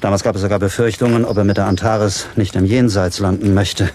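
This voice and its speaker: An actor playing a grave professor who runs space missions in a radio drama, mellow, measured and formal. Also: male voice, lowish voice, fairly even intonation, a little hiss or hum, lightly acted. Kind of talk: German radio drama acting.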